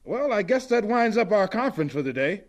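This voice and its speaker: An actor playing a brisk, dismissive college chief in a radio drama, authoritative and curt. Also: male voice, sits high, very animated.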